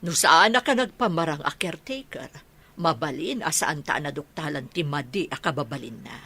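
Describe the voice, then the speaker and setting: A woman with an Ilocano accent playing a doting village mother in a comedy radio drama, with a husky voice. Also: low voice, animated, moderate pace, pushed and bright, heavily acted. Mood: fond, approving. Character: doting middle-aged or older village mother.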